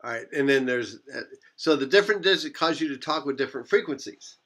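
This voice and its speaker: A man's voice: medium pitch, expressive, fast talker, a bit bright. A man teaching a physics class, rambling and correcting himself as he talks. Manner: rambling, self-correcting, repeats phrases. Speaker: physics instructor. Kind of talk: classroom lecture.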